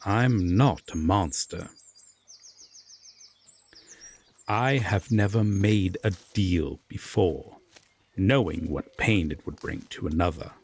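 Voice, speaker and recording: A man playing an aloof, stern yet secretly tender Fae king in a roleplay audio drama, speaking formally and deliberately with long pauses. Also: low voice, a little mellow, a little hiss or hum. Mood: apologetic, guarded.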